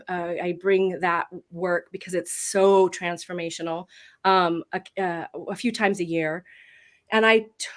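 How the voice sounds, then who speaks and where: A midlife woman with a big voice, telling her story as a voice coach in a casual interview, lively and laughing often. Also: medium pitch. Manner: expressive storyteller with bursts of laughter. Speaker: voice coach and guest.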